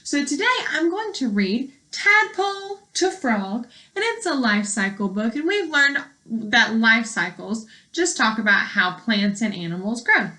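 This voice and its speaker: A woman's voice: on the high side, big pitch swings, unhurried, few pauses, pushed and bright. A woman teacher reading aloud to young children and explaining as she goes in simple words, with questions to the listeners. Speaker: elementary school teacher.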